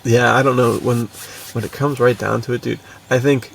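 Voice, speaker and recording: A man's voice: mid-range voice, steady tone, normal speed, vocal fry, recorded from a distance, a little hiss or hum, rough recording. A young man co-hosting a podcast in casual, enthusiastic chat, with a slangy, buddy-like manner. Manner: slangy, buddy-like, frequently chuckling. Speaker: podcast co-host.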